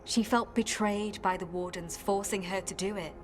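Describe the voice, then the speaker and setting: An actress playing a caring, grave former warden recruit in a video game, speaking in a measured, earnest way. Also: female voice, mid-range voice, fairly even intonation, soft background music, natural voice. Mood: solemn.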